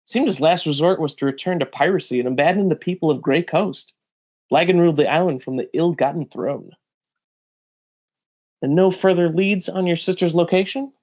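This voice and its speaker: A man reading a big, seasoned pirate bounty hunter from a comic, wry, measured and explanatory. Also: fairly high pitch, a little mellow, muffled recording, close to an everyday voice.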